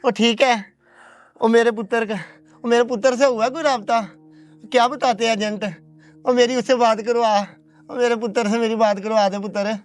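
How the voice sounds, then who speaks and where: A man's voice: very high voice, fairly even intonation, moderate pace, soft background music. A man playing a poor, trusting old village father, pleading and affectionate in a dramatised moral story. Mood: anxious, pleading. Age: older. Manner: pleading, repeatedly addresses listener affectionately.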